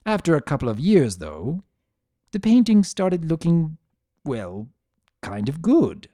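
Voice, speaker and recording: A man voicing a grumpy, possessive, secretly tender chaos creature who narrates his own story in a sardonic, expressive dramatic reading. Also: a little high, slow pace, no room sound, studio quality, heavily acted. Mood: wry, reflective. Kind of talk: first-person dramatic reading of fan fiction.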